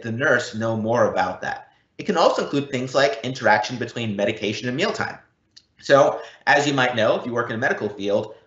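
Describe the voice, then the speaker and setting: A man giving a live software demo in a conversational, explanatory way. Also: mid-range voice. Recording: dull lo-fi, roomy web stream audio.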